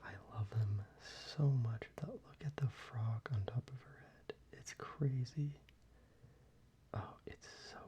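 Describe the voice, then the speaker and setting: An ASMR creator whispering softly and closely, with frequent ums and restarts while showing their collection. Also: medium pitch, takes it slowly.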